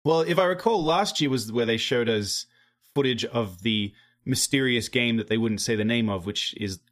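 A man's voice: mid-range voice, moderate pace, a bit bright. A man hosting a casual podcast chat, rambling a bit and swearing loosely. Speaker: podcast host.

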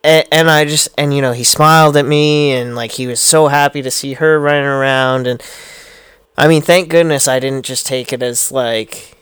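A man in his thirties in casual podcast chat, earnest and reflective and quick to laugh. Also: medium pitch, fairly even intonation, fairly bright tone, clear, resonant tone, no echo.

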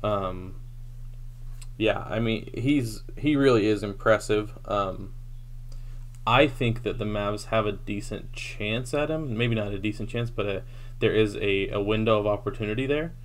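A man's voice: on the low side, measured pace, a little hiss or hum. A man co-hosting a casual basketball podcast, steadily reeling off stats with frequent ums. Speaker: podcast co-host. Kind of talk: casual sports talk.

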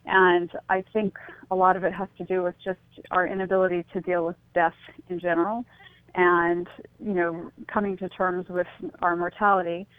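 A woman's voice: fairly low pitch, little pitch movement, moderate pace, no echo. Middle-aged woman on a tinny phone line. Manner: reflective, hesitant restarts, soft breathy exclamations. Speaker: guest, suicide prevention advocate. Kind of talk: phone-in radio interview.

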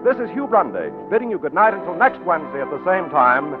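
Old-time radio announcer guy, lively smooth delivery, tinny phone-like sound, faint music. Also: a little high. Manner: polished, measured announcer cadence. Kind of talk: scripted sponsor reading and sign-off.

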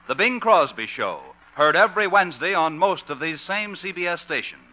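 A man's voice: fairly high pitch, sharp tone. A man working as a radio announcer, reading scripted narration in 1950s broadcast style. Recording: muffled old radio recording. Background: music.

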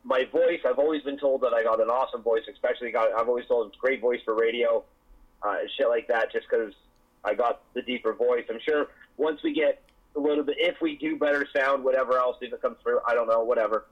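Husky man on a dull, lo-fi recording. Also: medium pitch, steady tone, fast talker. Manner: rambling, restarts often, swears. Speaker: podcast co-host. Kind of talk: casual podcast chat.

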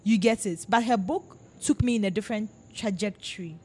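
A woman's voice: medium pitch, brisk, slightly rough. A woman from Ghana hosting a casual podcast chat about books, talking emphatically and animatedly, often overlapping her guests. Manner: animated, emphatic, talks over others. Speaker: podcast host.

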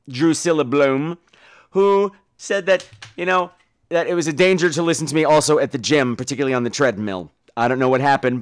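A man's voice: on the high side, fairly bright tone, smooth. A man hosting a podcast in casual chat, theatrical and teasing, with exaggerated emphasis and some profanity. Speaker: podcast host. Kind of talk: casual podcast chat with listener call-ins.

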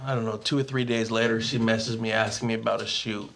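A man's voice: fairly low pitch, level tone, fast, creaky, a bit bright. A man, a guest photographer on a podcast, telling a story casually with restarts and some swearing.

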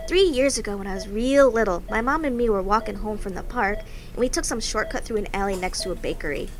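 A woman's voice: mid-range voice, fast, close to an everyday voice. A woman voicing an earnest, curious young girl in an audio drama. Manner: earnest, eager.

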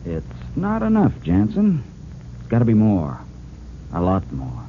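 An actor playing a greedy small-time crook in an old-time radio drama, insinuating and pushy. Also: male voice, lowish voice, wildly swooping pitch, unhurried. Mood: greedy, pressing. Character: greedy small-time crook, sly man pressing for money. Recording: muffled narrow-band vintage radio recording, hiss.

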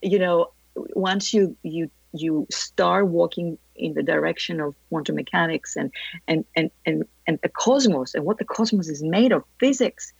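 A woman from Greece answering interview questions, animated and laughing often, with many restarts. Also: fairly low pitch, normal speed, vocal fry, slightly rough, no echo, dull audio. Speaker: guest, grief educator.

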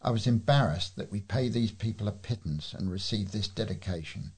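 British male volunteer reader for printed letters, raspy and on the low side. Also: a little fast, a little mellow. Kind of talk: reading readers' letters aloud.

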